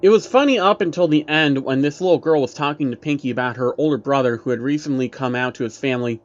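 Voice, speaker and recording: A man's voice: mid-range voice, quick pace, dull audio. A man narrating a creepy first-person story as a storyteller. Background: background music.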